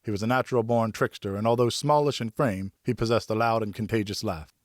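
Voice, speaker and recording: A man reading scripted first-person literary narration in a measured, carefully enunciated storytelling manner. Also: lowish voice, steady tone, no echo, clean studio sound. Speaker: audiobook narrator, voice actor.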